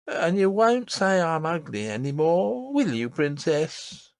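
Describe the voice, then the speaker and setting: A fussy, easily offended nurse, as a man reading a storybook puts her on, scolding in a raised, crotchety voice with plenty of rise and fall. Gravelly texture, close and dry recording. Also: a little high, sing-song, slow pace, darkish tone, no room sound, pitched above the performer's own voice, full character voice. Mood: vexed. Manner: cross, scolding tone.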